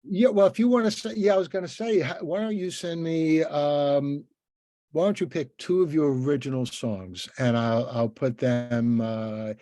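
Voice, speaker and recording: A man hosting a casual podcast interview, talking in a halting, rambling, encouraging way. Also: on the high side, steady tone, warm tone, no echo. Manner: halting, rambling, with many repeated word starts.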